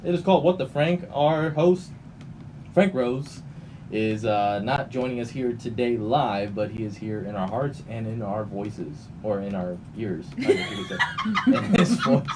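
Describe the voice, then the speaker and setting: A man's voice: fairly low pitch, huge pitch swings, unhurried. A man hosting a casual radio show with jokey, playful banter. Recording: distant mic, clearly noisy background.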